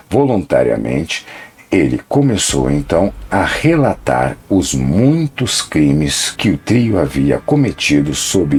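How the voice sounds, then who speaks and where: Male narrator, scripted documentary telling, deep low voice, lively animated delivery. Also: gravelly. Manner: dramatic, measured storytelling.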